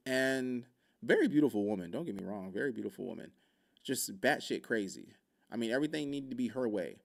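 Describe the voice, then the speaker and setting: Gravelly-voiced man telling a story. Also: mid-range voice, a little fast. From Hawaii. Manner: animated, joking, with vocal sound effects and laughs. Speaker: podcast speaker. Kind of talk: casual storytelling.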